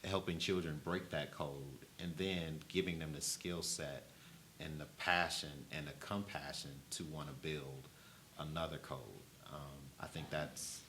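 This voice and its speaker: A man who teaches reading and writing, talking on a panel in a thoughtful, measured way. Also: rumbling low, fairly even intonation, fairly bright tone, a little hiss or hum. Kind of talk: panel discussion.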